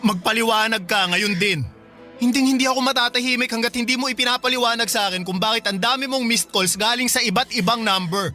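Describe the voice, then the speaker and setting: A man's voice: very high-pitched, quick pace, pushed and bright, faint music behind, heavily acted. A man with a Filipino accent acting an insistent but caring young boyfriend in a radio drama. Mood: alarmed, demanding.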